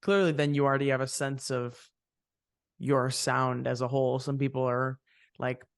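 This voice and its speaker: A man hosts a relaxed interview with a musician, his mid-range voice carrying a gravelly texture. He muses at length and wanders through his thoughts, recorded close and dry with no room sound. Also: mid-range voice, normal speed, dry recording. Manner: reflective, rambling with fillers. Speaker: podcast host. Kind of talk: casual interview chat.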